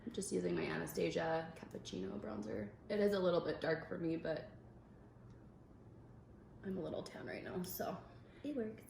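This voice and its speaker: A young woman explains her makeup steps in a chatty, upbeat way, her voice sitting a little low. Her voice echoes in the room, with faint noise under it. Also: normal speed, creaky, pauses often, darkish tone. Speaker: makeup vlogger. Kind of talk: casual makeup tutorial chat. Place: indoor room at a makeup table.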